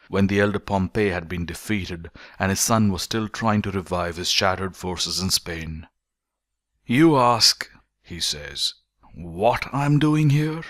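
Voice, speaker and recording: A man reading a philosophical essay as an audiobook narrator, in measured, deliberate phrases with emphatic stresses. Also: deep voice, a bit bright, no room sound, studio quality.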